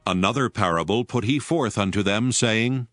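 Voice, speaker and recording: A man narrating a dramatized scripture reading in a measured, formal manner. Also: on the low side, fairly bright tone, dry recording, studio quality, faint music behind.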